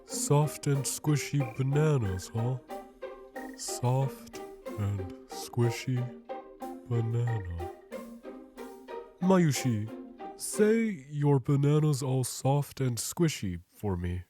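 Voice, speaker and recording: A man plays a laid-back, pervy young nerd from a visual novel. He drawls slowly with wide slides in pitch, over clear music. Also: very animated. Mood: leering. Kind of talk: visual novel fan dub acting. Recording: music behind the voice.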